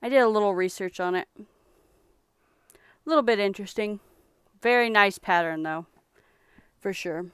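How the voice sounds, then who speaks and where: A young woman from Texas chatting casually on a live stream about thrift finds she resells, in a relaxed, rambling way. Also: mid-range voice, normal speed, long pauses, clean tone, no echo.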